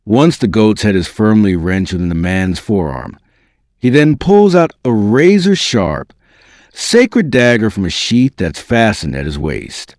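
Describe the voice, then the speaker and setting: A man delivers dramatic scripted narration in a fairly low voice. His pitch moves in a very animated way from phrase to phrase. The recording is dry and close, with no sense of a room around him. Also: unhurried, dry recording. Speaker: narrator reading a book excerpt.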